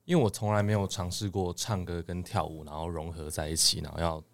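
A man's voice: low voice, little pitch movement, quick pace. A young man with a Taiwanese Mandarin accent, speaking casually as an interview guest. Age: young adult. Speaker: guest, idol trainee.

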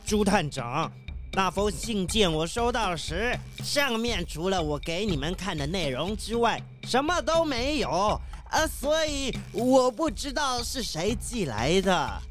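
Slow defensive ship captain, hesitant, wobbly up n down, kids audio drama. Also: mid-range voice, sing-song, a bit bright, faint music behind. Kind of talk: children's detective audio drama.

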